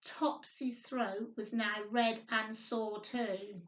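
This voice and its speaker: Woman, nursery storyteller, high-pitched and sing-song, speaking simply to little ones; roomy, echoing sound. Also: fairly even intonation, takes it slowly, fairly bright tone. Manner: sing-song, simple child-directed talk with frequent tag questions. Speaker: children's storytime host, nursery worker. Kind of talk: reading picture book aloud to young children. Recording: roomy echo, distant microphone.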